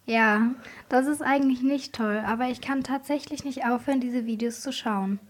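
A woman's voice: on the high side, normal speed. A female youth radio presenter in a scripted conversation.

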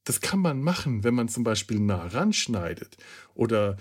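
A man's voice: medium pitch, big pitch swings, normal speed, husky. A German-speaking male podcast host giving a critical review in casual talk, often restarting and correcting his words mid-sentence.

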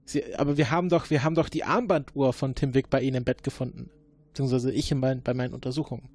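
A man in an improvised role-play, questioning formally and probingly as an investigator, often halting mid-sentence. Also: medium pitch, steady tone, darkish tone. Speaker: player acting as investigating agent.

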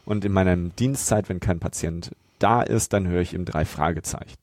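Low-voiced man moderating a podcast. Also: moderate pace, darkish tone, no room sound, clean studio sound.

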